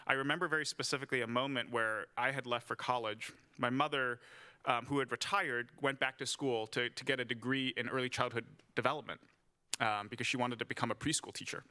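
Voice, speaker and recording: A man from Hong Kong moderates a library author conversation. His voice is bright and forward, and he tells his stories in a measured, thoughtful way. His pitch stays in a middle range. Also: fairly even intonation. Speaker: event moderator. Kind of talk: conversational interview. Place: library event room.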